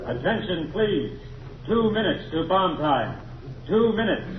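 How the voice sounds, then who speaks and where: High echoing military announcer. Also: male voice, lively intonation. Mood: formal. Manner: loud, formal, deliberate. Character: tense, formal male military base announcer. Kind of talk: loudspeaker announcement in radio drama. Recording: echoing, muffled, very noisy old recording.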